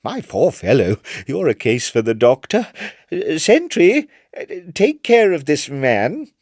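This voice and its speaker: A man voicing a dismissive, condescending navy Admiral in a storybook reading, with a measured delivery. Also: fairly high pitch, big pitch swings, darkish tone, no room sound, clean studio sound, voice pushed up, full character voice. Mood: patronising disbelief. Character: dismissive, patronising male Admiral of King George's navy.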